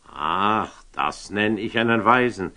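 An actor playing a proud, curious sultan in a classical German radio drama, declaiming verse in an imperious, teasing way. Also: male voice, fairly low pitch, lively intonation, slow, bright, forceful tone, heavily acted. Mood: amused, declamatory.

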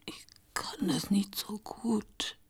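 An actress playing a dying, remorseful fashion model in a radio drama, confessing haltingly in a weak, breathy, tearful whisper. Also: female voice, mid-range voice. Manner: halting, faltering. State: dying, close to tears.

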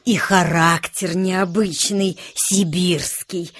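An actress playing a grumbling, knowledgeable old female hare in a children's audio play, measured and instructive. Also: on the low side, steady tone. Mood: knowing.

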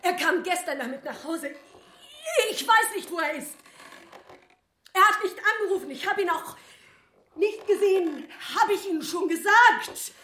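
An actress playing a frightened but defiant servant under interrogation in a radio play, shrill and protesting. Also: female voice, expressive, normal speed, long pauses, pushed and bright, heavily acted. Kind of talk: German radio play acting. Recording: echoey room, faint noise.

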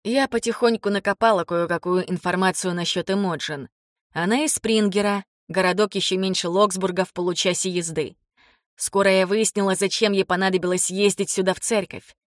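A woman's voice: medium pitch, steady tone, brisk, no echo, clean studio sound. A woman reading a first-person novel as scripted audiobook narration.

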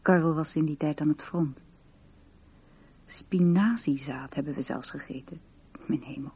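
An actress playing a shy, dreamy woman in a radio drama, with a husky, breathy and hesitant delivery. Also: sits low, mellow, full character voice. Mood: reminiscing. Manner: hesitant, halting.

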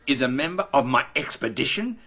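An actor playing a distraught, indignant academic expedition leader in a radio drama, protesting in formal, agitated speech. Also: male voice, mid-range voice, sing-song, fairly quick, sharp tone, husky, soft background music, exaggerated voice. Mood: disbelieving, indignant.

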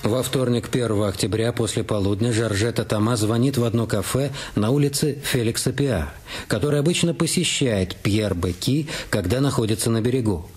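A man's voice: medium pitch, a little fast, a little mellow, breathy edge, soft background music. A man reading scripted narration for a radio detective drama. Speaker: radio play narrator and actor.

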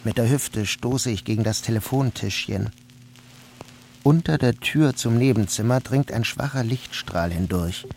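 A man narrating a literary first-person story as an audiobook reader, in a calm, even manner. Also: medium pitch, a little slow, gravelly, a little hiss or hum.

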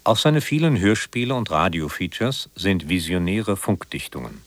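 A man reading a scripted biographical introduction as a radio presenter, in a measured, formal manner. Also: sits low, very animated, no echo.

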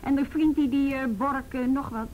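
A woman's voice: steady tone, moderate pace, narrow, tinny audio, lightly acted. An actress as wry policewoman, noisy recording. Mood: businesslike. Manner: dry, sardonic, mildly swearing. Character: wry, world-weary woman youth police officer. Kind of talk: naturalistic radio drama acting. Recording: noisy rumbly radio drama recording.

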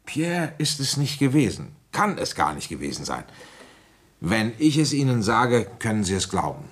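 A village police commissioner in his fifties with a very low, husky voice. He is worn down by a cold yet ambitious, coaxing people like a blunt father in a radio play. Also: very animated, moderate pace, fairly bright tone, heavily acted. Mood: coaxing, firm. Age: middle-aged. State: feverish with a cold. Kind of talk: German radio drama acting and first-person narration.

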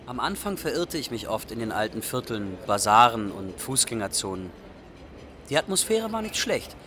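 A man's voice: mid-range voice, sing-song, moderate pace, pushed and bright. A man acting as a young Iraqi refugee, candidly and expressively narrating adventures in a radio play.

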